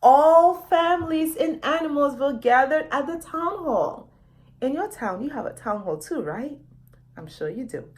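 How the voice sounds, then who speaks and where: A woman reads to children as an author at storytime. Her voice is on the high side and moves up and down freely, with dramatic delivery and direct questions to the listeners. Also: animated, a little slow, a little mellow. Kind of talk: expressive storytime reading addressed to children.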